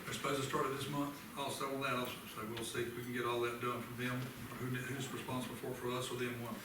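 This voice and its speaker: Brisk man chairing, very echoey room. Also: mid-range voice, little pitch movement, crowd noise. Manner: brisk, clarifying and repeating back. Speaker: meeting chair. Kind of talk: informal public meeting discussion. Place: public meeting room. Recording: very echoey room, distant mic, background noise.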